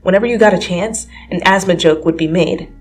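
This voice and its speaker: A woman's voice: on the low side, a little fast. A woman giving a dramatic reading of fan fiction as an amateur narrator, with music behind her. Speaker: amateur story reader.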